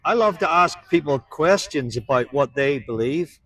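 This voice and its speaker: A man's voice: fairly high pitch, animated, moderate pace, a bit bright, no room sound. A man preaching to passers-by on a street, earnest and pressing them with questions. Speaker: street evangelist. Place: outdoors on a town street.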